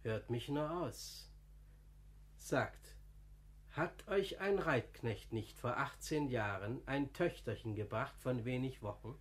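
A man plays a good-natured older lay brother in a classical radio play. He speaks at a medium male pitch in a homely, confiding way. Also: slow pace, close to an everyday voice. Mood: earnest recounting. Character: humble, good-natured older friar, plain-spoken and confiding. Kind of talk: classical German radio drama acting.

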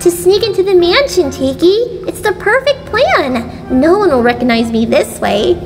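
A woman's voice: very high voice, sing-song, moderate pace, a little mellow. A woman voicing an earnest, awkward, scheming schoolgirl in a cartoon comic dub. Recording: long reverb, music behind.

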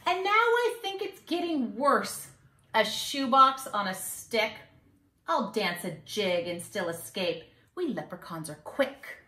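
A cheeky, boastful little leprechaun from a storybook, played by a woman, taunts in singsong rhyme. The voice is high and bright, with big theatrical swoops. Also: very animated, slow pace, reverberant, exaggerated voice. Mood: cocky taunting. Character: naughty, boastful two-hundred-year-old little male leprechaun trickster. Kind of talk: storybook read-aloud. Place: living room at home.

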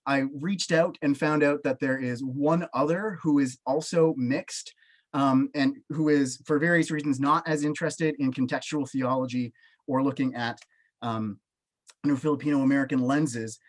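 A man's voice: medium pitch, steady tone, moderate pace. A man in his thirties with a Canadian accent, a panelist telling his own experience on a video call from a small home office. He speaks earnestly and with emphasis. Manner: earnest, emphatic, gestures with both hands. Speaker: panelist, professor. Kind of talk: personal testimony on a video call. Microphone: webcam on a video call.

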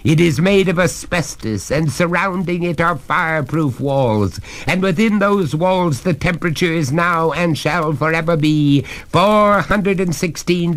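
A storybook gentleman of a haunted hall, acted out by a man, courteous on the surface and coldly taunting underneath. He speaks firmly and unhurriedly, with a pitch that rises and falls widely. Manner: polite, firm, coldly taunting.

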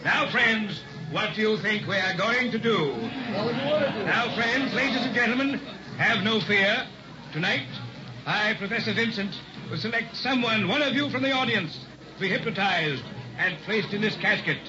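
A man's voice: high voice, unhurried. A man playing a slick, theatrical carnival hypnotist with grand, teasing patter in an early sixties radio drama. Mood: grand, coaxing. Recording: dull old radio recording, music behind.

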